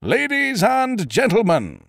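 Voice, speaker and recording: A man putting on a loud, high, flustered stage announcer. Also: wildly swooping pitch, creaky, no room sound, clean studio sound, heavily acted. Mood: flustered announcing. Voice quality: loud, high and drawn-out, dropping his H's. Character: nervous stout male theatre announcer, pompous.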